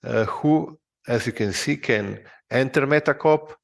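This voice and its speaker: Slow, gravelly man. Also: mid-range voice. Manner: slow, halting with fillers. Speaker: presenter. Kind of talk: recorded product walkthrough.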